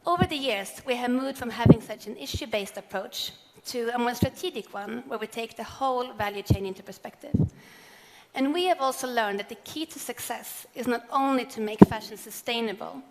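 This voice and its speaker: A woman, giving a measured, earnest prepared keynote speech on stage. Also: fairly high pitch. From Sweden. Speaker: corporate sustainability speaker. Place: large event hall on stage. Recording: long hall reverb.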